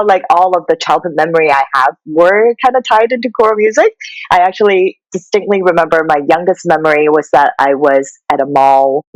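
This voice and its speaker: A woman from Hong Kong in her thirties, talking reflectively and warmly as an interview guest, with some fillers. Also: fairly low pitch, dry recording, poor audio. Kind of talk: conversational interview.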